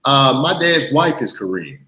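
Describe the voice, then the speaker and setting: A man in his thirties with a Mississippi Southern accent, a guest in a casual interview, relaxed and pausing with fillers. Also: mid-range voice, reverberant, rough recording. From Mississippi, USA. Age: 30s. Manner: relaxed, hesitant with frequent uh fillers.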